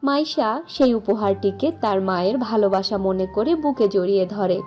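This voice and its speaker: A woman's voice: medium pitch, animated, normal speed, darkish tone, soft background music. A woman reading scripted fairy-tale narration as the storyteller.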